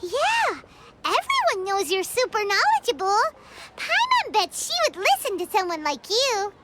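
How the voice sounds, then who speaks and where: A woman voicing a small, bubbly, naive childlike fairy companion in an anime game, with a squeaky voice and an exclaiming manner. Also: wildly swooping pitch, measured pace, very noisy, heavily acted. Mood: puzzled, naive. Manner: exclaims, refers to self in third person. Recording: children's music behind the voice.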